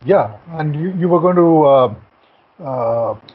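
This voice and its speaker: A middle-aged man talks on a video call from his study, his voice a little high for a man. The sound is thin and phone-like, and he often restarts sentences with uhs. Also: animated, takes it slowly. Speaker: author and journalist. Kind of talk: casual talk on a video call. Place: home study with bookshelves. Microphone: computer mic on a video call.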